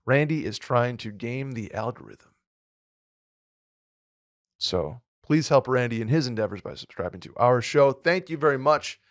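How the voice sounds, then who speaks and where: A man co-hosting a podcast in casual chat, with a hoarse, slightly nasal voice and a rambling, upbeat way of talking. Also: medium pitch, lively intonation, moderate pace, long pauses, no room sound, studio quality. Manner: rambling, filler-heavy, upbeat. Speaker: podcast co-host.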